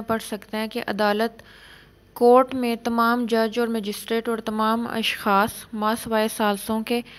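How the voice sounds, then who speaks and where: A woman giving an exam-prep law lecture, reading English clauses slowly and explaining them in Urdu. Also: a little high.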